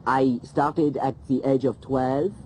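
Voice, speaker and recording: Actor as a slow, halting Frenchman with a heavy accent, mellow voice. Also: mid-range voice, fairly even intonation, a little hiss or hum, close to an everyday voice. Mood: hesitant. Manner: hesitant, halting, self-correcting. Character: French man working in Paris, careful speaker. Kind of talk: scripted textbook monologue.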